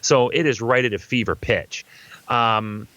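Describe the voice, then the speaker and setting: A man's voice: mid-range voice, measured pace, bright, forceful tone, no room sound, dull audio. An American man giving casual, sarcastic, joking commentary on a film as a comedy riffer.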